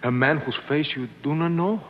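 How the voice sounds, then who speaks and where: A man's voice: on the high side, moderate pace, natural voice. Male actor as anxious loyal old friend, radio drama delivery, muffled sound, long reverb. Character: worried loyal older family friend of the hero. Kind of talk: 1950s radio drama acting.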